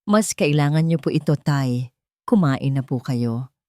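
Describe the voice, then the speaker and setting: A woman's voice: low-pitched, animated, a little slow, darkish tone, dry recording, clean studio sound. A woman voicing a humble, kind young single mother in an audio story, polite and meek. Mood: kind, gentle.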